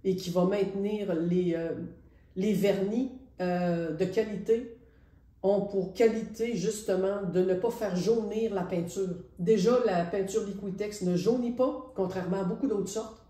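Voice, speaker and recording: Woman, Quebec French accent, middle-aged, hesitant and reflective, mellow tone, echoey room. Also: mid-range voice, steady tone. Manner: reflective, hesitant, with many pauses. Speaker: painter presenting her artwork. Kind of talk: casual spoken art presentation. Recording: roomy echo.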